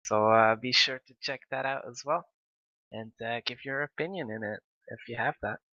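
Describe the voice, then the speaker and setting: A man's voice: medium pitch, moderate pace, no echo, muffled recording. A man commentating a live online game match in a casual, chatty way, with plenty of filler words as he thinks aloud. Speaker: esports commentator.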